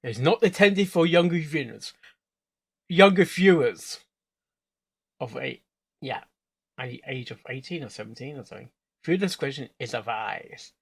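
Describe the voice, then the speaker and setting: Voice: mid-range voice, expressive, normal speed, creaky, pauses often, slightly rough. A reaction host talking casually in short asides, echoing and answering what is being heard.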